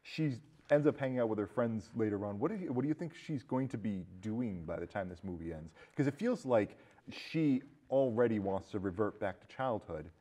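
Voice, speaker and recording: A man's voice: lowish voice, warm tone. Man hosting a casual film chat. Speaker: film talk show host.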